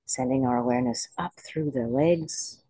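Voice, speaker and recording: Meditation teacher guiding slowly, dry close sound. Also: medium pitch, mellow, dry recording. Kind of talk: guided body scan meditation.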